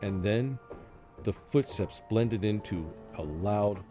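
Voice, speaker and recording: Man playing a cursed professor, low-pitched, fearful, anguished, halting, radio drama narrator, prominent music underneath. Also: lively intonation. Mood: haunted, weary. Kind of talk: dramatic first-person radio play narration.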